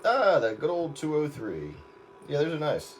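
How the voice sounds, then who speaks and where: A man's voice: fairly high pitch, huge pitch swings, moderate pace, heavy background noise. A man chatting casually and in a rambling, easygoing way as he hosts a stream from his radio set. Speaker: radio operator hosting a livestream.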